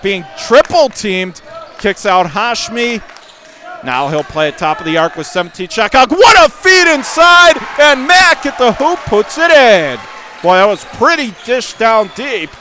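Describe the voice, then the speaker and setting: Male sports announcer, high-pitched, clipped and excitable live basketball play-by-play, with gym noise behind him. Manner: clipped running phrases, bursts of excitement.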